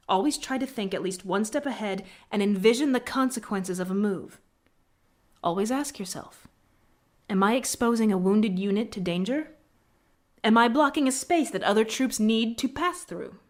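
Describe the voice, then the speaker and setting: Game casting: actress for a stern female military tactician, medium pitch, sardonic, sharp-tongued, articulate, little patience. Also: expressive, moderate pace, natural voice. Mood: brisk, businesslike. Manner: curt, sardonic, lecturing, articulate. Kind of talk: scripted video game character acting.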